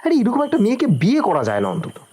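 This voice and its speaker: A man's voice: high voice, huge pitch swings, quick pace, dark tone, breathy edge, full character voice. A man playing a nervous, evasive young suspect in an audio drama, stammering and protesting in an agitated way. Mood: wary, resigned. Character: Hossain, nervous, evasive young man under police suspicion.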